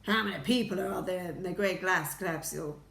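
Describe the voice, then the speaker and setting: A woman voicing a gruff, irascible male President in a storybook, rasping and barking with pompous command. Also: moderate pace, fairly bright tone, roomy sound. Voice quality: deep, rasping. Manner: snappy, barking.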